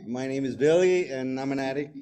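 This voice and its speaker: A man in his forties from El Salvador with a Salvadoran Spanish accent and Spanish as his first language, sharing his recovery story at a meeting, nervous and hesitant, with many fillers and restarts. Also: a little high. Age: 40s. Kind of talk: personal recovery testimony.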